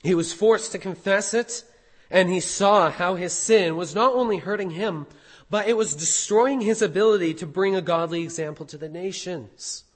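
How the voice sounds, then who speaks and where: A hoarse man preaching a sermon as pastor, animated, with rhetorical questions. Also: high voice, moderate pace, big-room sound, low-quality recording.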